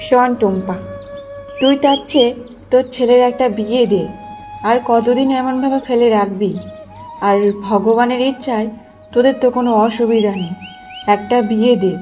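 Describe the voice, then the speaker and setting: A woman's voice: a little high, normal speed, pauses often, a little mellow, soft background music, lightly acted. A woman voicing a friendly middle-aged village auntie in a cartoon, full of persuasive advice. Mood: advising, chatty. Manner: advising, persuasive. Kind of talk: Bengali cartoon voice acting.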